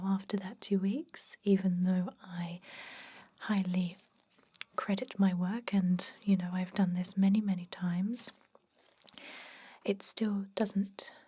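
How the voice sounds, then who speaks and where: Woman doing asmr dentist roleplay, soft dark breathy whisper, reassuring and explaining. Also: mid-range voice. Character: calm, professional female dentist to vampires, reassuring. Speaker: ASMR performer playing a dentist.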